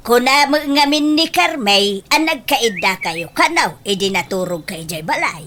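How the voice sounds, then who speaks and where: A woman's voice: on the high side, expressive, moderate pace, noisy background, lightly acted. A woman playing a nosy older village auntie in an Ilocano comedy radio drama. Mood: scolding, shocked.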